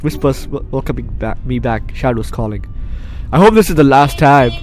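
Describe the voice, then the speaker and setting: A man's voice: medium pitch, lively intonation, moderate pace, faint music behind. A man with the flu doing let's play commentary, reading game text aloud and joking in a repetitive, bantering way. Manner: jokey, repeats phrases, banters with characters. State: sick with flu. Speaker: gaming YouTuber.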